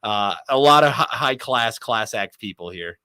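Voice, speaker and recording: A man's voice: lowish voice, lively intonation, moderate pace, sharp tone, clean tone, no room sound. A man speaking as a sports broadcaster guest in an interview, enthusiastic and wordy.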